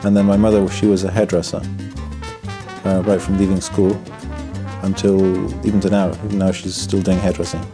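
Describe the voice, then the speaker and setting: A man from the north of England, a Buddhist monk, speaking in a documentary interview in a reflective, halting way, often restarting his words and adding wry humor. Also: low voice, level tone. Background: background music.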